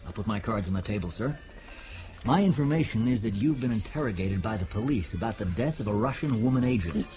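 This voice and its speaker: Actor as polite probing journalist, lowish voice. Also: male voice, lively intonation, brisk, dark tone, soft background music, natural voice. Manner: polite, formal, insinuating. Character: smooth, self-assured, probing male journalist. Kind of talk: audio drama acting.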